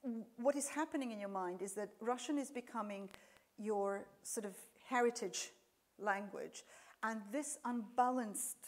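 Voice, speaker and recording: A woman's voice: fairly high pitch, measured pace. A woman lecturer whose first language is Serbian, answering audience questions after a talk, explaining with frequent fillers and restarts.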